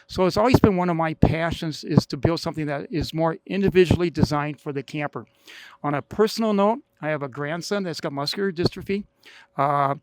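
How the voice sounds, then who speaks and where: An older American man with a slightly high voice speaks informally to a gathering. His delivery is plainspoken and folksy, with halting phrases. The sound is very dry, with no room echo. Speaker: camp leader announcing a donor-funded project.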